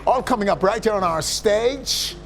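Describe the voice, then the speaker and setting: A man with a high voice hosts an entertainment news show, reading his stories in a lilting sing-song. A soft music bed runs underneath him. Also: animated, normal speed. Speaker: entertainment news host and interviewer. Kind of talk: news reading and studio interview.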